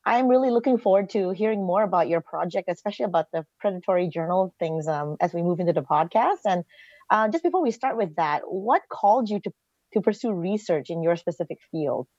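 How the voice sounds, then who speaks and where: A woman's voice: fairly low pitch, animated, brisk, a little mellow, dry recording. A woman from Malaysia hosting a podcast, asking her guests friendly, guided questions. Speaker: podcast host. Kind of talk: podcast interviewing. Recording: dull lo-fi sound.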